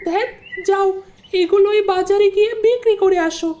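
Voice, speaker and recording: A woman's voice: extremely high pitch, brisk, warm tone. A woman voicing a discontented village fisherman's wife in a cartoon, complaining and scolding. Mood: exasperated. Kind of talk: Bengali moral-tale cartoon dubbing.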